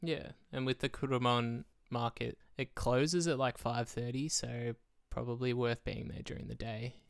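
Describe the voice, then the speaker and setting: A young man, a guest, explaining practical travel details in a relaxed, casual way. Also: mid-range voice, moderate pace, creaky, clear, resonant tone, no echo, studio quality.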